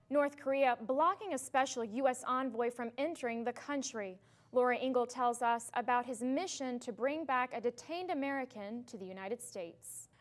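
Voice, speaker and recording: A woman news reporter and anchor reading scripted television news narration. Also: high voice.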